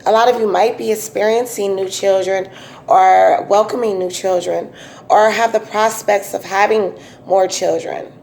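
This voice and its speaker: A woman with a raspy voice giving a casual, chatty tarot reading, full of fillers and restarts. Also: mid-range voice, steady tone, measured pace, a little mellow, slight background noise, low-quality recording. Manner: chatty, repetitive, many um fillers. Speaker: tarot reader.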